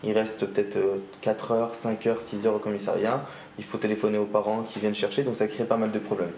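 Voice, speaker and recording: A man giving an interview, calmly explaining. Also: lowish voice, fairly quick, slight background noise. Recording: roomy with music behind.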